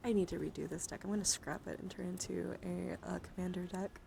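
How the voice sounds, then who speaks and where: A woman live-streaming ASMR, chatting casually and playfully to viewers in a voice that drifts between soft speech and hushed whispering. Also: on the low side, fairly even intonation, fast talker. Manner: playful, giggly, easily sidetracked. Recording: clearly audible background noise.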